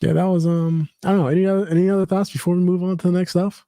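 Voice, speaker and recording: Casting: a mellow-toned male podcast host, rambling and full of restarts, recorded dry. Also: high voice, little pitch movement, rapid-fire, dry recording. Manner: rambling, many fillers and restarts. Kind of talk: casual chat.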